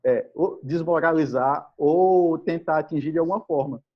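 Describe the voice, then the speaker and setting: Dude hosting a live call, kinda husky, muffled lo-fi sound. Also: male voice, a little high. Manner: friendly, enthusiastic, halting with fillers. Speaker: podcast host. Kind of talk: live video-call chat. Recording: dull, lo-fi call audio.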